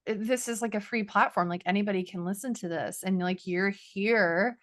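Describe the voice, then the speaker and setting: A woman's voice: medium pitch, normal speed, a bit bright, no echo. A woman co-hosting a podcast, speaking in an earnest, affirming, coaching way with many "like"s. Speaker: podcast co-host. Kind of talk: casual podcast chat.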